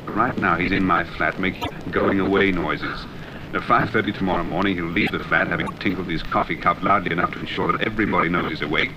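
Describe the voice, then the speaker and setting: Man playing deep, brisk secret agent hero. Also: fairly even intonation, natural voice. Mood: confident, explaining. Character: suave, confident male intelligence agent, adventurous hero. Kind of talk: 1960s radio thriller acting. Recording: muffled, noisy damaged 1960s flexidisc.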